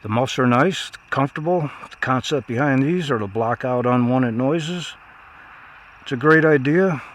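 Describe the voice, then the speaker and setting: A man's voice: mid-range voice, moderate pace. Man reviewing gear over noticeable background noise. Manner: plainspoken, folksy phrasing. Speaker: product reviewer. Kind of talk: casual unscripted product review.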